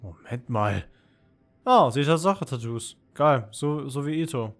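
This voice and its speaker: A man's voice: mid-range voice, lively intonation, measured pace, faint music behind. A man who is a native German speaker, giving casual, slangy reactions as a live game streamer. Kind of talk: casual gaming stream commentary.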